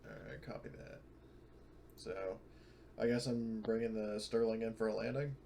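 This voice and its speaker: Guy player in an rpg voice chat, lowish voice, hesitant, some noise. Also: fairly even intonation, normal speed, vocal fry, warm tone. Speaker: player in a tabletop roleplaying game. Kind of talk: casual chat during online game.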